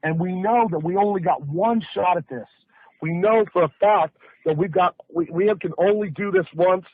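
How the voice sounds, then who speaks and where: A man with a North American accent giving an emphatic, hyped sales pitch on a conference call, telling it like a story with rhetorical questions and folksy repetition. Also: moderate pace, no room sound. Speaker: network marketing presenter. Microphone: phone or conference line. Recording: muffled, band-limited conference call audio.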